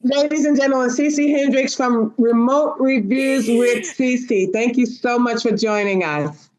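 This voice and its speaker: A woman's voice: fairly high pitch, unhurried, a little mellow, low-quality recording. A woman hosting a podcast panel in a casual, conversational style. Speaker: podcast host.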